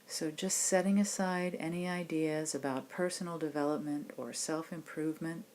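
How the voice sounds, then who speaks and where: Female meditation teacher, lowish voice, gentle and reassuring, leading a guided relaxation practice. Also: steady tone. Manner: gentle, unhurried, reassuring instruction. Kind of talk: guided body scan meditation instruction.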